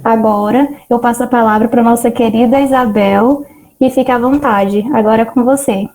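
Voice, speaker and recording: A woman's voice: on the high side, fairly even intonation, moderate pace, darkish tone, poor audio. A woman with a Brazilian accent, formally introducing a speaker as moderator of an online meeting over a video call.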